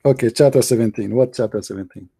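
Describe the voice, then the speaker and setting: A man's voice: medium pitch, fast talker, creaky, mellow, husky, dry recording. A man hosting a heated call-in debate, combative and mocking, speaking English as a second language after Arabic. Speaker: debate show host.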